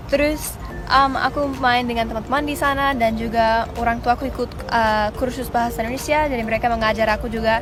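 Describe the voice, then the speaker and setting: A woman's voice: a little high, steady tone, a little fast. A woman from the United States, an English speaker, chatting casually to camera as a vlogger with small fillers. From United States (Texas). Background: background music.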